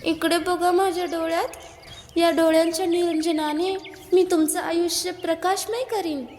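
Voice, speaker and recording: A woman's voice: extremely high pitch, fairly even intonation, moderate pace, darkish tone, a little hiss or hum. A woman playing a doting, overly devoted newlywed wife in a comic skit, speaking in sugary, melodramatic phrases. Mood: syrupy. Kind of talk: comic stage skit acting. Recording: long hall reverb.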